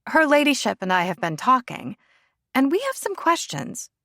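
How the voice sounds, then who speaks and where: A woman's voice: sits high, wildly swooping pitch, moderate pace, bright, forceful tone, no room sound, voice pushed up, heavily acted. A woman voicing a worried young woman pressing her close friend with earnest questions.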